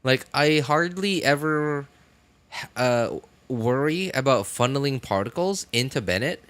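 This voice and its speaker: A man talking casually on a live game stream in a rambling, halting way, often correcting himself. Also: medium pitch, animated, a bit bright, smooth.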